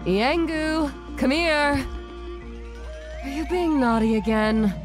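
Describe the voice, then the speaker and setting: A woman playing a gentle, caring, apologetic villager in a video game. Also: high voice, slow. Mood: fond, scolding. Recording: faint music under the voice.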